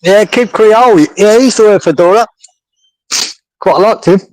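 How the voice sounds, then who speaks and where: A man's voice: sits high, normal speed, a little mellow, poor audio. A man co-hosting a casual fan chat, joking around and speaking bluntly, with occasional swearing. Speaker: co-host.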